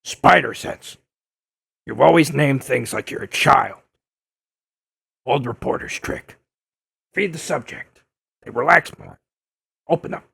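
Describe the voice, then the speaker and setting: An actor playing a gruff, blustering older newspaperman in an audio drama, insistent and quick to insult. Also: mid-range voice, fairly bright tone, no echo, studio quality, full character voice. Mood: sly, brusque. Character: gruff, combative older male newspaperman turned blogger. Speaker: investigative reporter interviewing a superhero. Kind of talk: audio drama dub acting.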